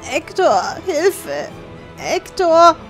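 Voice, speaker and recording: A woman's voice: very high-pitched, sing-song, drawn-out words, music in the background, heavily acted. A woman playing a frightened young dwarf heroine, crying out urgently. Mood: panicked.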